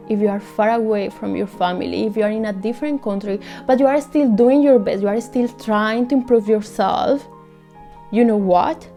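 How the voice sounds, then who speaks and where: A woman's voice: mid-range voice, gravelly. A young woman from Colombia, speaking English with a Colombian Spanish accent, in an earnest, heartfelt personal monologue. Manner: earnest and heartfelt, soft and measured. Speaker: vlogger.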